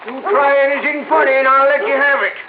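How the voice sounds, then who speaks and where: An actor playing a cantankerous old Western ranch hand, talking in a cackly, folksy drawl in a 1950s radio drama. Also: male voice, very high-pitched, fairly even intonation, brisk. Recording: phone-like old radio recording with hiss.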